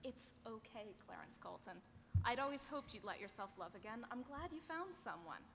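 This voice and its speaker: A woman acts a dead woman in the underworld, tender and wistful. The voice is a little high and bright, with a noisy, reverberant hall around it. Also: very animated, normal speed, creaky, full character voice. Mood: tender, regretful. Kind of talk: live table read of a sci-fi comedy script. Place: large convention hall panel stage. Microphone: table microphone on stand. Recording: echoey, noisy convention hall.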